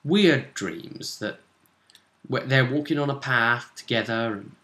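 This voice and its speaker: A man casually narrating a tabletop roleplaying game as game master, in a halting, conversational way. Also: medium pitch, fairly bright tone. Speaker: game master recapping a campaign session. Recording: echoey room.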